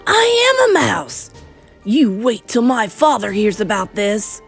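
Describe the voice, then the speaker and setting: A loudmouthed little boy turned mouse, played by a woman reading a storybook, greedy and easily offended. The voice blusters petulantly and swoops up and down, with music behind it. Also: mid-range voice, big pitch swings, unhurried, vocal fry, breathy edge, exaggerated voice. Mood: petulant, alarmed.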